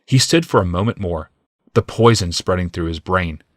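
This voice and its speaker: A man's voice: deep voice, expressive, normal speed, no echo, clean studio sound. A man reading scripted, dramatic fantasy narration as an audiobook narrator.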